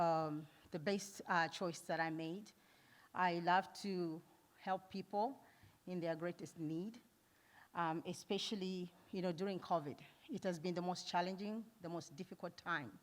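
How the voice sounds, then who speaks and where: A middle-aged woman from Zambia, a nurse giving a conference talk, earnest and warm, laughing often and pausing with fillers. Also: on the low side. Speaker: nurse and foundation founder speaking at an event. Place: event hall.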